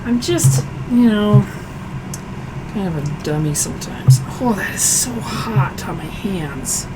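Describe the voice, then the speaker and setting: Hoarse man chatting while cooking. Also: sits high, expressive, slow, background noise. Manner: chatty, self-deprecating, answers chat aloud while cooking. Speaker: streaming cooking show host. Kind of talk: casual cooking livestream chat. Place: home kitchen.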